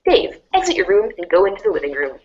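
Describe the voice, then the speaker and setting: A woman's voice: medium pitch, wildly swooping pitch, fast talker, highs cut off. A woman narrator reading a dramatic storybook-style script.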